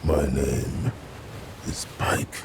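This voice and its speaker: Gravelly, extremely deep undead killer. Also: male voice, fairly even intonation, exaggerated voice. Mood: menacing. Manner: slow, terse, ominous. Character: menacing undead male killer from the deep sea. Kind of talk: video game character acting. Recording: loud background noise and ambience.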